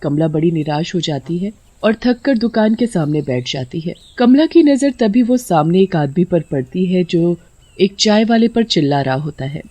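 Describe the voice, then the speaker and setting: A woman's voice: lowish voice, animated, normal speed, mellow, no echo. A woman reading a scripted story as narrator.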